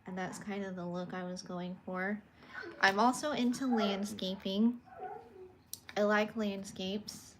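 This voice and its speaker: A young woman from California, an artist casually chatting on a livestream from her bedroom as she shows her paintings and answers viewer comments. She is soft-spoken and a little awkward. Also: mid-range voice, fairly even intonation, slow pace, background noise.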